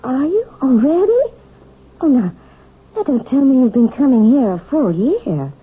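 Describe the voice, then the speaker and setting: A woman playing a gentle, anxious married piano teacher in a radio drama, speaking hesitantly and evasively. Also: sits high, very animated, unhurried, breathy edge, exaggerated voice. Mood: gentle, encouraging. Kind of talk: 1970s radio drama acting. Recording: muffled, phone-like old radio recording.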